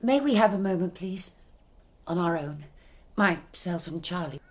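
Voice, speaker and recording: A woman's voice: lowish voice, lively intonation, a little slow. An actress playing a prim, formal lady in a radio drama, speaking with precise diction. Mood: tender. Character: Rose Sayre, prim English spinster, missionary's sister.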